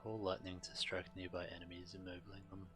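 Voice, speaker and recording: A man streaming a video game, reading on-screen text and commenting casually in a measured, subdued way. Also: sits low, completely flat delivery, over music.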